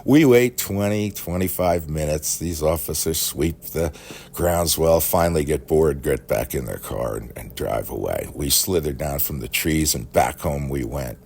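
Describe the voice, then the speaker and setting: A man telling a rambling personal story in a halting, folksy, animated way, with a gravelly, husky voice. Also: a bass voice, a little hiss or hum.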